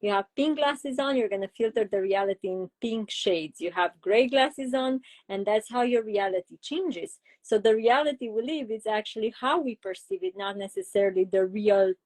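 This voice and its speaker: A woman's voice: fairly high pitch, expressive, moderate pace, hardly any pauses, a little mellow. A middle-aged woman from Romania with a Romanian accent, a child psychologist being interviewed, explaining ideas through examples with frequent fillers. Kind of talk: interview conversation.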